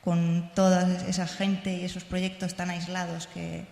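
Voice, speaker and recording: Creaky-voiced woman speaking in an echoey hall. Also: fairly low pitch, monotone, a little mellow. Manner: hesitant, halting, seeking agreement. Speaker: radio researcher and conference speaker. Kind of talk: informal spoken presentation. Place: large indoor conference hall. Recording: echoey hall, room microphone sound.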